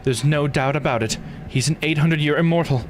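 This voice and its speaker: A man's voice: fairly high pitch, a little fast, a little hiss or hum, natural voice. A man voicing a composed, authoritative female police superior in a visual novel game. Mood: decisive.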